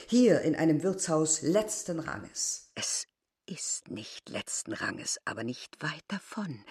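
A middle-aged innkeeper woman in a radio drama. She is proud and self-important, lecturing in formal, emphatic phrases with a weary edge. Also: deep voice. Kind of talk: literary radio drama acting.

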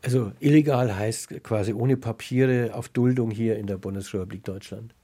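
A man's voice: lowish voice, fairly even intonation, moderate pace, dark tone, slightly rough. A man asking precise, probing questions as a radio interviewer.

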